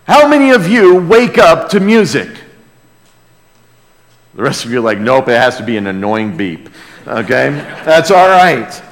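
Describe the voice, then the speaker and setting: A man's voice: medium pitch, big pitch swings, measured pace. A man preaching a conversational sermon as a pastor, in a folksy way with rhetorical questions to his listeners. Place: large reverberant room, preaching to a congregation. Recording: long hall reverb.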